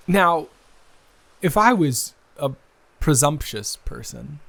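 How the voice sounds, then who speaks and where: Slow-talking, flustered, smitten young man, acted by a man. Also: medium pitch, creaky, fairly bright tone, exaggerated voice. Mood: coy, playful. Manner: halting, flustered, with sighs, gasps and laughs. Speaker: audio roleplay performer. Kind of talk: improvised intimate romantic roleplay, conversational.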